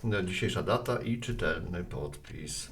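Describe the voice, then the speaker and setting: A man playing a friendly, hurried mail carrier in a radio play, chatting in a conversational way. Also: deep voice, lively intonation, heavy background noise. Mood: brisk, friendly. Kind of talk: Polish radio play dialogue.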